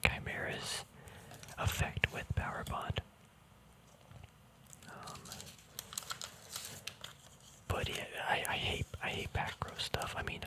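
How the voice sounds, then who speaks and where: A man speaking in a breathy whisper in a casual, relaxed ASMR style, rambling through explanations and often correcting himself. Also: poor audio. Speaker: host of a hobby card-game ASMR video.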